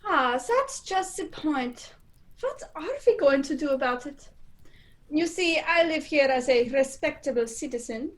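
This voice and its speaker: A woman's voice: high-pitched, animated, unhurried. A young woman playing a suave villainous spy chief in an amateur radio play, politely and unhurriedly menacing. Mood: suave menace. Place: indoors, performed over a video call.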